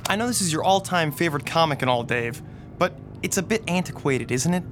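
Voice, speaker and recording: A man's voice: a little high, expressive, brisk, over music, close to an everyday voice. A man playing a skeptical young comic reader in a radio drama, talking in casual, mocking slang. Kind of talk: audio drama dialogue.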